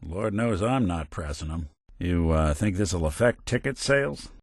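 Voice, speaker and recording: A low voiced weary robot gumshoe for a game, dry wisecracks, tone moves around a lot, super dry recording. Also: male voice, expressive, unhurried, dry recording, exaggerated voice. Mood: wry, sardonic. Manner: wry, dry, sardonic, old-time tough-guy phrasing. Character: world-weary wisecracking male synth robot detective, middle-aged. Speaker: video game voice actor. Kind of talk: scripted game companion dialogue, noir detective.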